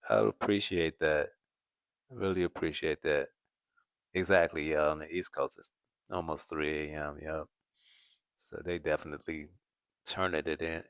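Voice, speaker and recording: A man's voice: deep voice, creaky, fairly bright tone, no room sound, studio quality. A man hosting a casual livestream, chatting to viewers and laughing often. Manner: laughs often, repeats "at the end of the day". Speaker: host.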